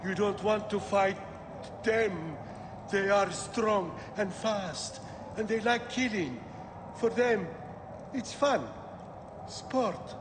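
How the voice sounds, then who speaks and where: A somber, wary gladiator trainer from a video game, who sounds worn and cautioning. His voice is high for a man and comes slowly and haltingly, in a reverberant, noisy space. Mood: fearful, bitter. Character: weary, wary male gladiator trainer, haunted mercenary. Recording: reverberant hall, noisy background.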